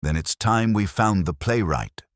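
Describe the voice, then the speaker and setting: A man's voice: lowish voice, lively intonation, unhurried, no room sound, voice pushed up. A man voicing a composed, grave and shrewd police inspector in a mystery story. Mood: brisk, urgent.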